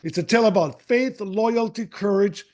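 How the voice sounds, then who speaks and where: A man's voice: high voice, darkish tone. Enthusiastic man hosting an author interview. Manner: enthusiastic, halting with restarts. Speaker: podcast host.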